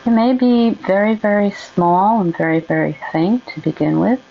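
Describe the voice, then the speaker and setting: A woman leading a guided meditation in a gentle, soothing, unhurried way with long pauses. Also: mid-range voice, creaky, highs cut off.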